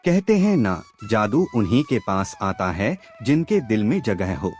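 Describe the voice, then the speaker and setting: A woman voicing a regretful village relative man in a cartoon story, speaking slowly and solemnly. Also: rumbling low, very animated, a little mellow, soft background music, voice pushed down, exaggerated voice.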